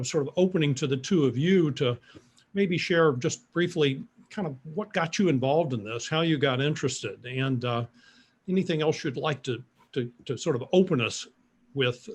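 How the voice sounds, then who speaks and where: Husky male moderator. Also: fairly high pitch, normal speed. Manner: loose, lots of fillers. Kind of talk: panel discussion.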